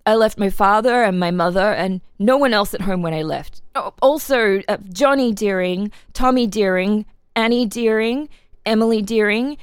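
A woman's voice: mid-range voice, smooth, no echo, studio quality, lightly acted. A woman voicing an earnest ten-year-old farm boy giving simple, plain-spoken testimony in a dramatic reading. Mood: earnest, matter-of-fact. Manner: simple, plain-spoken, answering questions literally.

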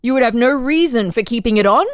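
A woman as a higher-voiced, probing interrogator. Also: expressive, fast, no room sound, clean studio sound, close to an everyday voice. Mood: insistent, formal. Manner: formal, probing, leading questions. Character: probing, insistent official interrogator questioning a murder suspect. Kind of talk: dramatic reading of an interrogation transcript.

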